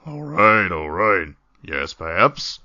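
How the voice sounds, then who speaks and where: A man's voice: low voice, big pitch swings, takes it slowly, sharp tone, no room sound, dull audio, full character voice. A man voicing a laid-back, teasing skeleton brother in a comic dub, talking in a casual, wry drawl. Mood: weary. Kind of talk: animated comic dub acting.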